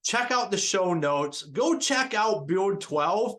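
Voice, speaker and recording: An enthusiastic male podcast host with a fairly high voice, speaking in an upbeat, promotional way. He keeps the energy up as he introduces the show and its guests. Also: animated, slow, a bit bright. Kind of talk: podcast hosting and self-read ad.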